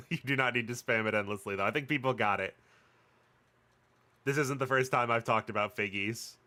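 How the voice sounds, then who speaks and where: A man's voice: mid-range voice, fairly quick, pushed and bright. A man hosting a game livestream, chatting casually in a rambling, joking, sweary way. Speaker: game streamer, host.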